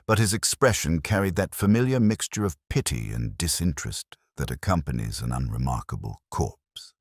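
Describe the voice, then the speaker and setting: A man playing a world-weary, brooding older police inspector, narrating his case in a measured, literary, sombre way for an audio drama. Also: rumbling low, breathy edge, no room sound, clean studio sound, heavily acted. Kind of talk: scripted first-person detective narration, audio drama.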